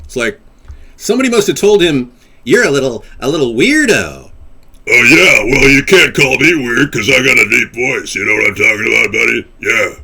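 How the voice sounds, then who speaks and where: A man's voice: medium pitch, animated, normal speed, vocal fry, sharp tone, husky. A man hosting a casual call-in web show, rambling and crude, calling people buddy. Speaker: host and presidential candidate.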